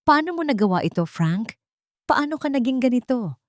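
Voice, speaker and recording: A man's voice: extremely high pitch, big pitch swings, normal speed, no echo, pitched above the performer's own voice. Lady voicing an angry young guy calling people out, dry close mic. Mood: furious. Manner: anguished, accusing tone. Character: angry young man confronting betrayal.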